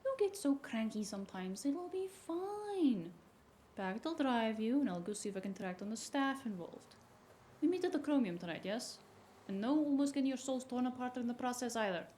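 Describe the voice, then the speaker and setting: A mellow, slightly high voice from an actress as a sassy, streetwise woman in a cyberpunk drama. She is blunt and bossy, and likes to tease. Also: animated, normal speed, slight background noise, natural voice. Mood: blunt, teasing. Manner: blunt, teasing. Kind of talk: audio drama dialogue.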